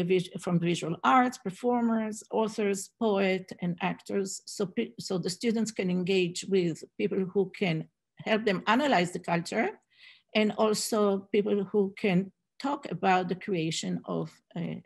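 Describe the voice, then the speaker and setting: Gravelly, husky woman presenting online. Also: medium pitch, a little slow. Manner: hesitant, many fillers and restarts. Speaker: Hebrew program director presenting at a conference. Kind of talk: academic conference presentation. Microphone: video call webcam.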